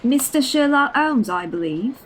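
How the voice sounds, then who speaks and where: A woman's voice: high voice, animated, a little slow, a little hiss or hum. A woman playing a polite maidservant in a comedy audio drama, matter-of-fact in manner. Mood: polite, informing.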